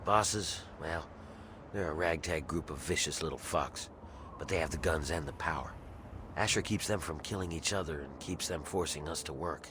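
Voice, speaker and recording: An actor playing a grim, embittered slave rebel in a video game, gruff and conspiratorial as he schemes for freedom. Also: very deep voice, moderate pace. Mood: grim, matter-of-fact. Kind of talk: video game character dialogue. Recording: clear ambient noise behind voice.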